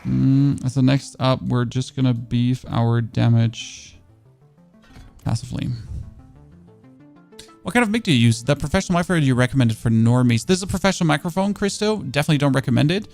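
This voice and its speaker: Gravelly man chatting on stream. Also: mid-range voice, a little fast, a little mellow, soft background music. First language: German. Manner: rambling, answers chat questions, frequent restarts. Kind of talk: casual gameplay streaming chat. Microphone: studio dynamic microphone.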